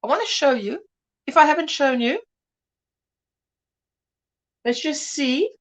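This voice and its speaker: A woman's voice: sits high, expressive, measured pace. A woman hosting a casual crochet livestream, chatty and greeting viewers by name, with a mixed English and Afrikaans home language. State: drowsy from pain tablets. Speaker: livestream host.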